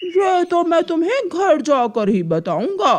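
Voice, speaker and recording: A woman voicing a sly male crow in a cartoon, smooth-talking and coaxing with drawn-out words. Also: very high voice, sing-song, a little mellow, slight background noise, pitched above the performer's own voice, full character voice.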